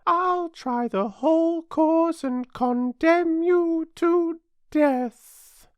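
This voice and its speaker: Woman doing a high, slow, declaiming animal fable. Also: no echo, exaggerated voice. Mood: imperious. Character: cunning old male cur and his mouse, in verse.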